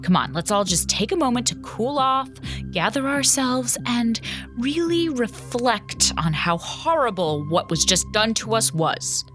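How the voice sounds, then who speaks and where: An actress playing a firm, dry-witted space station commander in an audio drama, authoritative and dryly emphatic. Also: female voice, on the high side, big pitch swings, measured pace, music in the background. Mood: controlled indignation.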